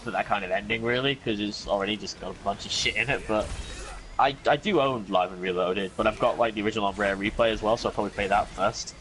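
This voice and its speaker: A young British man chatting in a relaxed, rambling way, full of "like" and "I mean", during a gaming stream. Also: fairly low pitch, quick pace, rough recording. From Britain. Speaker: co-host of gaming channel. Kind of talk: casual gaming chat.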